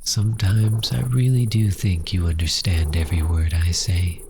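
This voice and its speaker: A man playing a tender, grieving orphan in a soft ASMR audio roleplay, talking slowly and haltingly to a pet cat in a breathy voice that often drops into a whisper. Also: deep voice, flat delivery, slight background noise. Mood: soft, worried affection. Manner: slow, halting, intimate.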